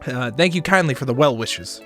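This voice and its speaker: A man's voice: mid-range voice, animated, fairly quick, bright, forceful tone, faint music behind. A man voicing a wry, broke, laid-back space shuttle passenger in a visual novel, speaking casually. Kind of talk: visual novel character reading.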